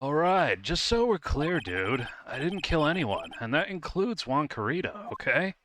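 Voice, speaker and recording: A man's voice: fairly high pitch, very animated, measured pace, fairly bright tone, slight background noise, pitched above the performer's own voice. A man voicing a laid-back, defensive young slacker celebrity in a video game dub.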